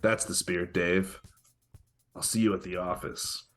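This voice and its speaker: Man playing a low-voiced, smug pickup guru. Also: steady tone, a little slow, fairly bright tone, faint music behind. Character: smug, pushy male pickup-artist guru. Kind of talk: comedic live table read.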